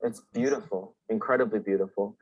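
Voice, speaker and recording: A man's voice: lowish voice, steady tone, brisk, a little mellow, slightly rough, no echo, lo-fi sound, soft background music. A young man from Rhode Island, a college student and camp counselor speaking sincerely in a testimonial. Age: young adult. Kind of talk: testimonial interview.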